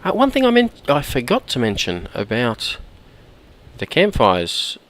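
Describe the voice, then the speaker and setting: A man with a hoarse, croaky voice from a cold, chatting casually while he plays and often clearing his throat. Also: medium pitch, wildly swooping pitch, a little slow, fairly bright tone. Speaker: gameplay commentator. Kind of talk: casual let's-play commentary.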